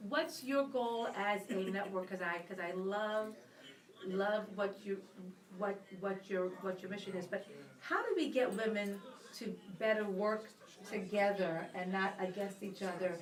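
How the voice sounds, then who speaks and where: A woman's voice: medium pitch, unhurried. A woman co-hosting a live radio talk show, chatting and asking long, rambling questions and laughing easily. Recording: roomy and distant from the mic.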